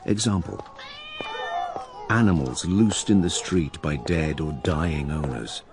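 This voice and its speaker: An actor playing a wry, imperious diarist narrating a radio drama with sardonic, theatrical asides. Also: male voice, extremely low pitch, lively intonation, slow pace, noisy background, heavily acted. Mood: sardonic, confiding. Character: wry, imperious male narrator-diarist of plague-stricken London.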